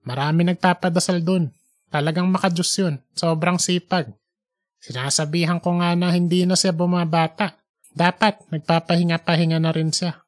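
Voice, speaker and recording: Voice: mid-range voice, fairly even intonation, normal speed, natural voice. A narrator voicing a chatty woman who cleans a church, talking in a colloquial, matter-of-fact and emphatic way. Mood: chatty, sure. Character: chatty, admiring female church cleaner, older than youth.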